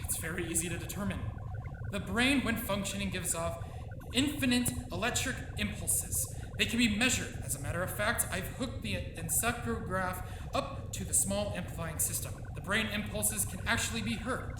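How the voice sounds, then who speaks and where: A man's voice: high-pitched, normal speed, background noise, lightly acted. An actor playing a proud, obsessive middle-aged scientist and father in a theatrical, declamatory old-time radio style. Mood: proud explaining. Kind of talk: live old-time radio drama acting. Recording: roomy live stage, loud music behind.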